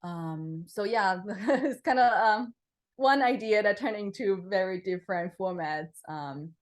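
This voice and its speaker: A young woman from Taiwan, a composer answering interview questions in a relaxed, hesitant way, with many fillers, restarts and frequent laughter. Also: mid-range voice, a little slow.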